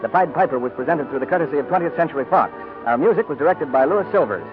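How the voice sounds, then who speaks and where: A man's voice: a little high, lively intonation, quick pace. An American-accented man reading scripted announcements as a 1940s radio announcer on an old broadcast recording. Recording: tinny 1940s radio, music behind.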